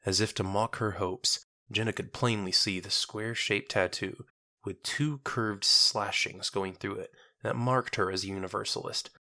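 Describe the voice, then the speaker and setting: A man narrates a scripted drama in a lowish voice with a bright, forceful edge. The sound is close and dry, as in an audiobook. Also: steady tone, moderate pace, no room sound.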